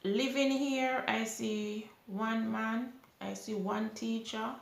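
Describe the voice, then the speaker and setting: Young Jamaican woman vlogger, unhurried, gravelly edge, chatty Q&A in an echoey room. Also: fairly high pitch. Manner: unhurried, chatty, with lively rises when emphatic. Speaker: vlogger answering viewer questions. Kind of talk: casual Q&A chat. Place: small indoor room, seated on a sofa.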